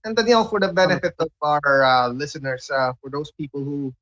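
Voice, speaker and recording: Man, somewhat high pitch, radio host, conversational, halting, word repetitions, muffled lo-fi sound. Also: a bit bright. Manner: halting, repeats words as he searches for phrasing. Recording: dull, lo-fi audio.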